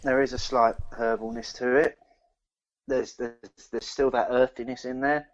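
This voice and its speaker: A man with a middling-pitched voice chats casually as a podcast co-host. He speaks haltingly, repeating words while he thinks aloud. The recording is a little dull and lo-fi. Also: level tone. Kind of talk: casual chat, beer review.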